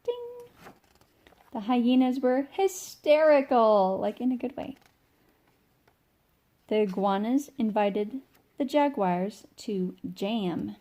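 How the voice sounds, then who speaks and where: Woman, mellow voice, slow pace, expressive children's read-aloud, informal storyteller delivery. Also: a little high.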